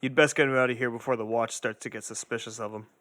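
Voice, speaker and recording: A man playing a sarcastic, morose undead sidekick with dry, deadpan muttering in a comedic audio drama. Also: medium pitch, fast, natural voice. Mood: dry, weary.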